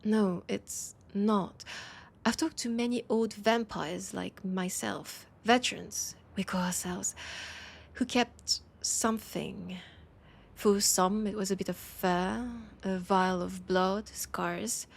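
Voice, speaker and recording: A woman with a French accent playing an ancient, proud and wistful vampire veteran in an intimate audio roleplay, with a husky, breathy voice and lingering pauses. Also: mid-range voice, steady tone. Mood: tender, sentimental. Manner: slow, sighing, lingering pauses.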